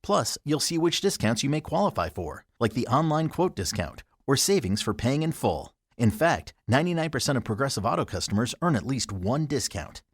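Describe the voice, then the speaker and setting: A man's voice: mid-range voice, big pitch swings, a little fast, few pauses, husky, no room sound, clean studio sound. An American man reading a scripted advertisement as an announcer. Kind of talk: scripted ad read.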